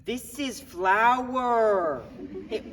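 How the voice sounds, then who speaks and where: A young man performing a dramatic monologue as a defiant, grieving baker, agitated and wry, with halting, streetwise talk. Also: extremely high pitch, fairly bright tone, heavily acted. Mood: defiant, sarcastic. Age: young adult. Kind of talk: dramatic monologue at a speech contest. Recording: echoey hall, recorded from far off.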